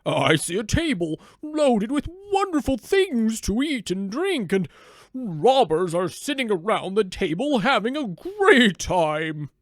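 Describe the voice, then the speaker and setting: A man voicing a hearty, friendly, slightly bossy storybook donkey with a booming, drawn-out delivery. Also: big pitch swings, dry recording, clean studio sound, heavily acted. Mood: eager. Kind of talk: animated storybook character voice.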